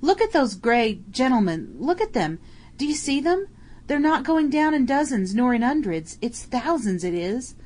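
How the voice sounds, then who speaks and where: A woman reading an audiobook voices an excited male English soldier, bellowing in amazement from a trench. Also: sits high, normal speed, pitched above the performer's own voice. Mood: amazed shouting.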